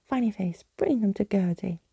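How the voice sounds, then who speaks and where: A woman's voice: mid-range voice, normal speed, creaky, slightly rough, dry recording, lo-fi sound. A woman voicing a petulant, obsessive imaginary girl villain in a storybook, wailing in broken childish phrases in a whispered reading. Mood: wailing, commanding.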